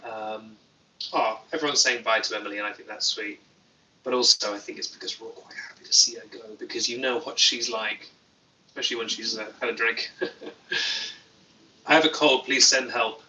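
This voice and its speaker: A man hosting a casual livestream, reading viewer comments with a deadpan, playful manner and jokey asides. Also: on the low side, moderate pace, pauses often, far from the mic, a little hiss or hum, rough recording. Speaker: YouTuber livestream host.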